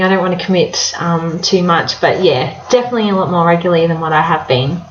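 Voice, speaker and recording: A woman's voice: on the low side, roomy sound, highs cut off. Chatty woman with a cold. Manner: chatty, warm-hearted advice with many fillers. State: slightly nasal from a cold. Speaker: vlogger. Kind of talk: casual chat to camera.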